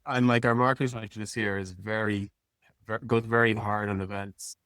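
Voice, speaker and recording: A man's voice: lowish voice, steady tone, a little fast, fairly bright tone, no echo, studio quality. A man speaking as an interview guest in a casual, plain-spoken way, like an executive talking informally about work. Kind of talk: casual conversational interview answers.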